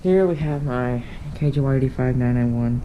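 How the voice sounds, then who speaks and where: A man's voice: medium pitch, normal speed, highs cut off. Offhand, rambling man on noisy audio. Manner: offhand, rambling, self-correcting. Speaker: hobbyist showing a radio collection. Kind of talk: casual unscripted show-and-tell. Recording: noisy handheld camera audio with clicks.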